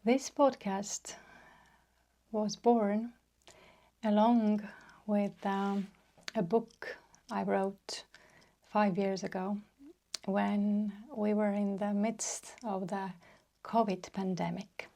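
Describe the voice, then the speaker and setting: Slow, gravelly Estonian woman host. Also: medium pitch, little pitch movement, dark tone. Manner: slow and measured, with frequent pauses. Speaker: podcast host. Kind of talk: unscripted solo podcast talk.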